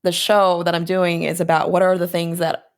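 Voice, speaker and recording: American woman, low voice, gravelly, casual podcast guest, animated and self-mocking. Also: moderate pace. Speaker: comedian guest.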